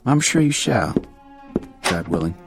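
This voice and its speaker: An actor playing a candid older family doctor in a radio drama, dry and measured. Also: male voice, low-pitched, sing-song, gravelly, loud music behind the voice, exaggerated voice. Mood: grave.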